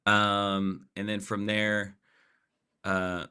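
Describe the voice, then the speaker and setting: A man's voice: lowish voice, little pitch movement, extremely slow, bright, forceful tone, smooth. A man in his forties from Pakistan, a podcast guest talking casually with many fillers and restarts. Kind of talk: casual interview chat.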